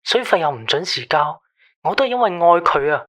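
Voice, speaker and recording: A man's voice: a little high, sing-song, brisk, pushed and bright. A man playing an obsessive, self-pitying husband. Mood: agitated, pleading. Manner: fixated, repetitive rambling. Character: obsessive, controlling husband, agitated and self-pitying late-night caller. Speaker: radio drama actor. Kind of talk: Cantonese radio drama acting.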